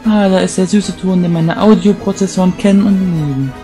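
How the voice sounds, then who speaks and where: Man doing a little robot sidekick, snide, dry, mellow high voice, music behind, game dub. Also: a little fast, natural voice. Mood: sarcastic. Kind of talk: German fan dub of a video game cutscene.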